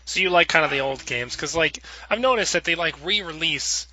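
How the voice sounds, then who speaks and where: A man hosts a casual discussion show. His voice is very bright and slightly high, and he talks fast. He rambles amiably through questions for the group. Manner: rambling, chatty, acts out imagined quotes. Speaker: podcast host. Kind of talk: casual panel discussion, asking guests questions.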